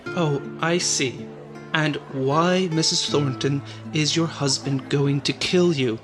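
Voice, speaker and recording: A man's voice: on the high side, steady tone, takes it slowly, over music. A man playing a skeptical, condescending friend in an old-time radio melodrama, dismissive and exasperated. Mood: skeptical exasperation. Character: skeptical, condescending male friend of a frightened woman.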